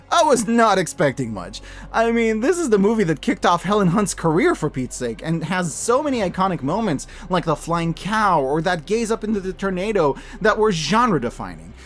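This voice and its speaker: A man in his thirties giving an opinionated scripted review commentary, sarcastic, animated and emphatic in his delivery. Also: sits high. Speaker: video host reviewing films.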